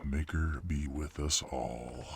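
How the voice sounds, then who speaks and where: A man playing a grave, courteous senior official in a fantasy audio drama, with a husky voice and a formal, guarded manner. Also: very deep voice, fairly even intonation, slow pace, full character voice.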